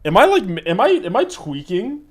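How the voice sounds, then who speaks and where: A man in his twenties chatting casually with his live-stream chat, laughing and swearing in quick asides. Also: high-pitched, huge pitch swings, breathy edge. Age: 20s.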